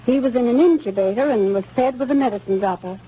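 A woman's voice: a little high, quick pace, mellow, close to an everyday voice. An actress playing an earnest, grateful mother in a 1930s radio advertising testimonial. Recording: noisy muffled 1930s radio recording.

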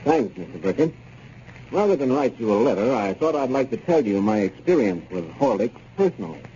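A man's voice: mid-range voice, very animated, fairly quick, dark tone. An American man delivering a scripted 1930s radio testimonial. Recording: muffled, hissy 1930s radio recording.

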